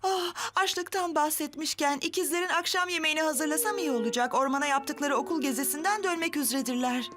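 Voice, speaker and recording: Anxious cartoon mum, very high-pitched, bright tense voice, fretting, faint music. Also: female voice, fast talker, few pauses. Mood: fretful. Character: fretful, worrying adult mother. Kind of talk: dubbed children's cartoon acting.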